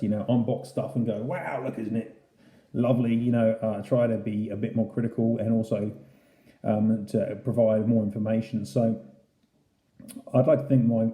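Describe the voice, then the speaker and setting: Man reviewing in an echoey room, mellow voice. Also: mid-range voice. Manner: conversational, explanatory. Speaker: channel host, model airport reviewer. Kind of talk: casual unboxing review narration. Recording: roomy, echoey indoor sound.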